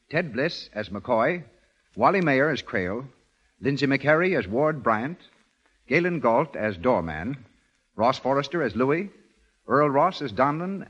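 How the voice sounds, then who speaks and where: Lively husky 1930s American radio announcer. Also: male voice, medium pitch. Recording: muffled vintage 1930s radio broadcast.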